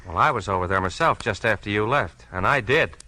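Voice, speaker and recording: A man's voice: fairly low pitch, expressive, brisk, pushed and bright, rough recording. An actor playing an eager, folksy American small-town policeman in a 1940s radio mystery, slangy and full of exclamations. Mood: puzzled, eager. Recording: vintage 1940s radio recording.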